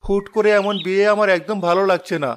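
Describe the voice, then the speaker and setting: A man's voice: high voice, steady tone, fast talker, lightly acted. Restless young cartoon prince played by a man. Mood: sulky. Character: restless young prince, sulky, craving freedom. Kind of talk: animated fairy-tale dialogue.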